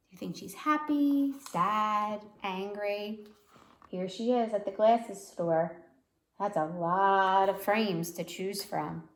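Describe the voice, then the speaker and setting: A woman reading a children's storybook aloud like a teacher, pausing to explain words and put questions to listeners. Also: mid-range voice. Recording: roomy, echoey sound.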